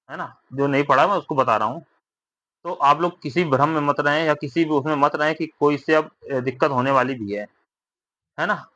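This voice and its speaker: A man's voice: on the high side, a bit bright, lo-fi sound. A man explaining exam updates informally to candidates, repeating himself, often checking for agreement, advising and reassuring. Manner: repetitive, with frequent tag questions seeking agreement.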